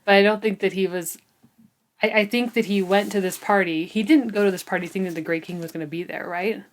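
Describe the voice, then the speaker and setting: A woman's voice: medium pitch, fairly even intonation, fast. A woman co-hosting a book podcast in casual chat, often questioning and checking in with her co-host.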